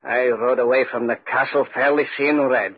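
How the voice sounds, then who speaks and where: A man's voice: medium pitch, fairly even intonation, normal speed, husky, close to an everyday voice. A man playing an aggrieved, stubborn country piper who tells his ghostly tale in 1940s radio style. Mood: brooding, amazed. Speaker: actor and narrator in radio drama. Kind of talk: 1940s radio acting, first-person storytelling. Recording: roomy, muffled 1940s radio recording.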